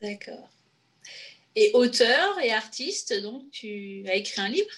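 Woman podcast host, slightly high voice, casual questioning. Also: sing-song, slow, a bit bright. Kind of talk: podcast interview.

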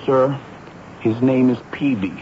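An actor playing a boyish, earnest informer in a 1970s radio drama, deferential and resigned to confessing. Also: male voice, mid-range voice, slow pace, natural voice. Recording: muffled old radio broadcast, faint noise.

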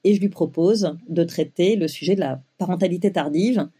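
A woman's voice: fairly low pitch, normal speed, warm tone, breathy edge. A woman in her forties telling her own story as an interview guest, with many fillers and restarts. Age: 40s. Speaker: guest, web publisher.

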